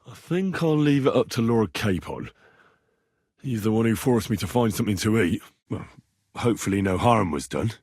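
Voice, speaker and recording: An actor playing an earnest, inquisitive young medieval blacksmith turned adventurer in a video game, speaking in a plain-spoken way. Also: male voice, on the low side, lively intonation, normal speed, darkish tone, husky, dry recording, clean studio sound. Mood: concerned, questioning. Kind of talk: video game dialogue lines.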